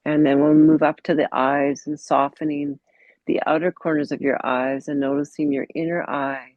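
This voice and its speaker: A woman meditation teacher leading a guided mindfulness practice in a gentle, unhurried way, pausing between short phrases, on dull, muffled audio. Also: low voice, little pitch movement, no room sound.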